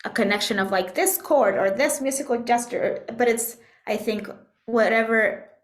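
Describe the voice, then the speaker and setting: A woman from Puerto Rico speaking as an interview guest, reflective and thoughtful, often pausing to search for words. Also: fairly high pitch. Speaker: interview guest, composer and musician. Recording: echoey room sound.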